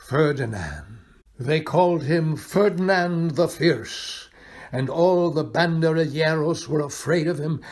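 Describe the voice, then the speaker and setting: A man reading a children's picture book aloud expressively, pausing for drama and addressing his young listeners. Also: on the high side, breathy edge. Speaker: volunteer storybook reader.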